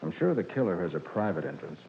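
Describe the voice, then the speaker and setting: An actor playing a cool, resolute detective in 1940s radio drama style, calm, commanding and deliberate. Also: male voice, deep voice, vocal fry, heavily acted. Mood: calm, scheming. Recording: dull, hissy old radio recording.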